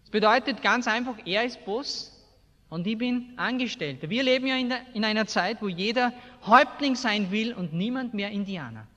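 Male preacher, Austrian accent, high voice, blunt emphatic delivery, colloquial sermon, long hall reverb. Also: animated, normal speed, bright, forceful tone. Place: large hall, preaching to a seated congregation.

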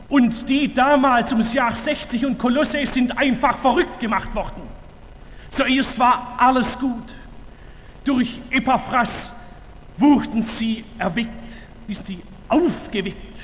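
A man preaching a sermon to a large audience, slow and emphatic with fervent declamation and wry humor. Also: extremely high pitch, fairly bright tone. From Württemberg, Germany. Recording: reverberant hall, faint ambience.

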